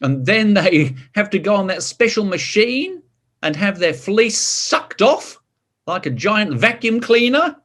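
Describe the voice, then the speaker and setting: A man reading a picture book to children, expressive, drawing out words and adding chatty asides and questions. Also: high voice, a little slow. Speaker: story reader.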